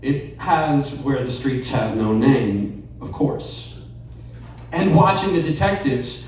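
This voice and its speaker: A man reading a personal essay aloud at a live storytelling night, measured and wry. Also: mid-range voice, lively intonation, darkish tone, distant mic, slight background noise. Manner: slow, measured delivery with wry asides. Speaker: reader at a live storytelling event. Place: bar or event venue, live audience. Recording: very echoey room, voice off-mic, faint ambience.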